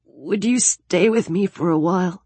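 A woman's voice: mid-range voice, measured pace, breathy edge, no room sound, voice pushed up. A woman voicing a frightened, grieving teenage boy with a thin, fragile voice in an audiobook story. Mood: fragile, whispery. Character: frightened, grief-stricken teenage boy, exhausted and despairing.

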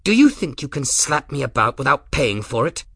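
A man's voice: mid-range voice, sing-song, normal speed, creaky, a bit bright, breathy edge, dry recording, studio quality, pitched above the performer's own voice, heavily acted. A man voicing an arrogant, sneering male commodore who threatens and belittles. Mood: furious. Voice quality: sneering, contemptuous.

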